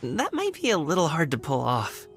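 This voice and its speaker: An actress voicing a gentle, thoughtful woman who speaks softly and kindly. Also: low voice, wildly swooping pitch, normal speed, soft background music, heavily acted.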